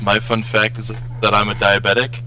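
A man's voice: on the low side, flat delivery, normal speed, bright, forceful tone. A young man co-hosting a casual group podcast over a voice call. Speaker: podcast co-host. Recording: humming, noisy voice call.

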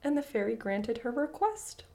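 A woman's voice: on the high side, sing-song, moderate pace, warm tone. A young woman co-hosting a podcast, moving between casual, giggly, sweary banter and reading folktales aloud.

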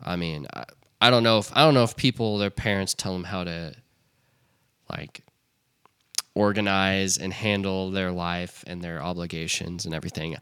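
Young male podcast co-host, low and bright, rambling casual delivery. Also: normal speed, clean tone, no room sound, studio quality. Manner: rambling, many restarts and "like". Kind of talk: casual chat.

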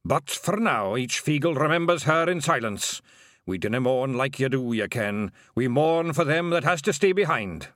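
A grave old pixie bard speaks in a slow Scottish lilt, as a man puts him on. His voice rises and falls with sorrowful weight. The sound is dry and close. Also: medium pitch, lively intonation, a bit bright, no room sound, voice pushed up, natural voice. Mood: solemn. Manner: slow, solemn and lilting.

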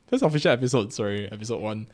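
A man's voice: fairly low pitch, lively intonation, racing, a little mellow. A man with a Singaporean accent co-hosting a casual podcast, rambling and often correcting himself as he goes. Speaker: podcast co-host.

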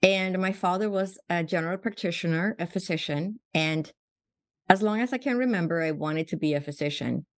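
Woman in her sixties, low-voiced. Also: moderate pace, dry recording, clean studio sound. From Sweden. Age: 60s. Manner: earnest, reflective, frequent restarts and repetitions. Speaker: guest physician. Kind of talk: conversational storytelling.

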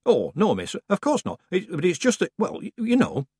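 A man doing a shrill village gossip woman. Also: huge pitch swings, moderate pace, mellow, husky, no room sound, studio quality, full character voice. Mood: flustered. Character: chattering village woman, middle-aged, indignant gossip.

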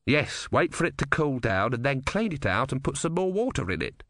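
A man voicing a patient, matter-of-fact engineer in an audiobook, deadpan and mildly fretful. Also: mid-range voice, moderate pace, no room sound, studio quality, voice pushed up. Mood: patient explaining.

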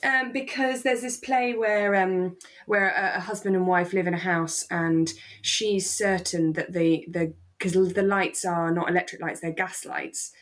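A woman's voice: lowish voice, fairly even intonation, a little slow, few pauses. A woman co-hosting a podcast, talking casually and reflectively as she explains her take on a radio drama. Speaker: podcast co-host.

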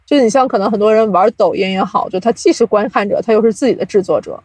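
A woman's voice: mid-range voice, fast, a little mellow, no echo. A woman speaking as a podcast guest, explaining things reflectively in a casual interview style. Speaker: podcast guest, audio drama producer.

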